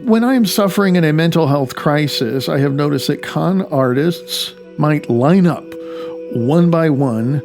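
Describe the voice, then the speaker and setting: Gravelly man narrating an audiobook. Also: fairly high pitch, expressive, a little slow, over music. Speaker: author reading own audiobook.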